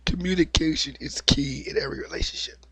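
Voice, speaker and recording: A man with a cold and a slightly raspy voice, giving an opinionated, repetitive monologue as the host of his own video. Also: fairly high pitch, fast talker, a bit bright, no room sound, in a whisper. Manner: opinionated, preachy, repeats himself. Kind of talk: unscripted monologue vlog.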